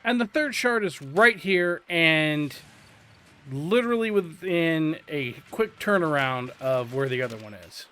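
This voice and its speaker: A man's voice: on the high side, expressive, measured pace, pushed and bright. A young man giving casual let's-play commentary, explaining game quests in a chatty, friendly way. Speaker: gameplay commentator.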